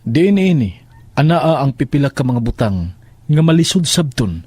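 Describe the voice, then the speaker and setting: A man's voice: mid-range voice, big pitch swings, normal speed, darkish tone, slightly rough, dry recording. A man with a Filipino accent giving a solemn, scripted reading of scripture. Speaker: scripture reader.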